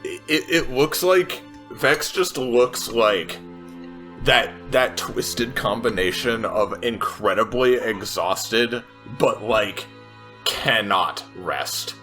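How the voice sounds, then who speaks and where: Guy in a tabletop game, low slow voice, halting, guitar music clearly playing behind. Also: lively intonation, sharp tone. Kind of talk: casual roleplay description.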